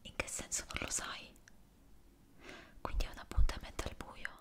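A woman whispering softly in an ASMR roleplay as an attentive barber, gently reassuring her client. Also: normal speed. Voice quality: soft, unvoiced whisper.

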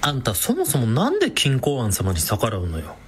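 A man's voice: medium pitch, huge pitch swings, normal speed, husky. A man playing a flamboyant, vain, effeminate villain in a radio drama, with sassy feminine speech. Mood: indignant, sassy. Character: flamboyant, vain, effeminate self-styled great beauty villain.

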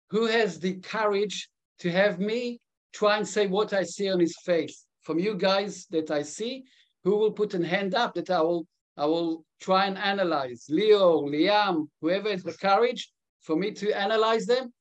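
A man's voice: sits high, a little slow, lo-fi sound. A man in his fifties with a mixed South African and Israeli English accent, giving a live webinar talk over a video call with many restarts and fillers. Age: 50s. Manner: earnest, with frequent restarts and fillers. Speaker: webinar speaker.

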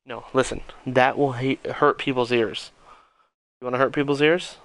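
A man's voice: medium pitch, fairly even intonation, normal speed, poor audio. A man streaming a game live, chatting casually with viewers and answering their chat. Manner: chatty, reading chat aloud, self-aware about volume. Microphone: headset.

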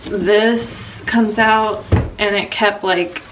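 A woman's voice: mid-range voice, steady tone, extremely slow, noisy background. A young American woman chatting casually to her phone camera as a vlogger. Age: young adult. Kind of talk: casual vlog chat to camera. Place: home kitchen, later inside a moving car. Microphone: phone at arm's length.

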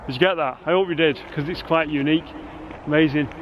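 A man's voice: on the high side, fast talker, dull audio, background noise. A man in his fifties on a casual exploring vlog, enthusiastic and rambling, talking into a handheld camera outdoors inside a huge concrete structure. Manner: enthusiastic, rambling, full of exclamations. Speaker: urban explorer vlogger. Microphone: camera mic, handheld selfie framing.

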